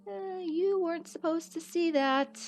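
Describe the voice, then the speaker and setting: A woman acting in a radio play as a concerned, steady federal agent, questioning and reassuring. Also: very high voice, a little slow. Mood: defensive.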